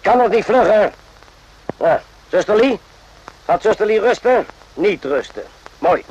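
A man's voice: sits high, lively intonation, measured pace, darkish tone, slightly rough, lo-fi sound, heavily acted. A man acting in a radio drama as an irritable, bullying army sergeant who curtly barks questions. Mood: impatient. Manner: curt, impatient, barking questions.

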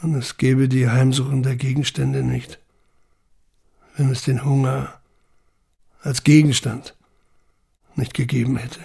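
A man's voice: medium pitch, flat delivery, a little slow, vocal fry, pauses often, mellow, slightly rough. A man delivering scripted first-person literary narration in an intense, brooding manner.

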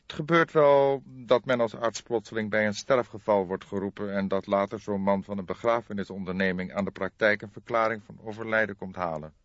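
A man's voice: fairly low pitch, steady tone, a little fast, dry recording, highs cut off, voice pushed up, lightly acted. A man voicing a haughty, evasive doctor in his late fifties for an audiobook, growling and hemming nonchalantly. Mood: dismissive. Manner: nonchalant, hesitant hemming and drawling.

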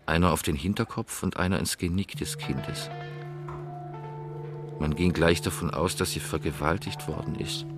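A brooding male detective with a low-pitched voice. He speaks in a radio crime drama, as narration and in dialogue, with music underneath. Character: brooding male detective reviewing a murder case.

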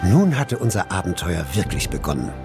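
A journalist narrator over music. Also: male voice, low-pitched, sing-song, normal speed. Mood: measured, storytelling. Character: male journalist recounting his adventure. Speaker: first-person narrator. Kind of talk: scripted radio drama narration. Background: prominent music.

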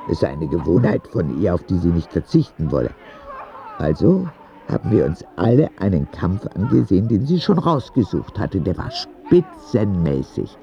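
A man's voice: deep voice, sing-song, moderate pace, vocal fry, lo-fi sound, some chatter behind, a little hiss or hum, exaggerated voice. A man playing a frank young boy in a radio drama, narrating his letters in an earnest, matter-of-fact way. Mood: frank, content. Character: frank, childlike ten-year-old boy writing letters to God.

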